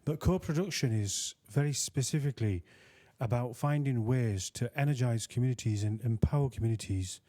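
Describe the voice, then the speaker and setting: A man on a research panel, explaining his work in a relaxed way with many fillers. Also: mid-range voice, moderate pace. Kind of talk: panel discussion answer.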